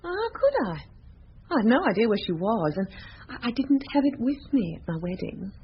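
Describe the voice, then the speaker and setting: A woman's voice: fairly high pitch, very animated, moderate pace. An actress playing a flustered, defensive young newlywed woman in a radio drama, hesitant and indignant. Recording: dull radio recording with background noise.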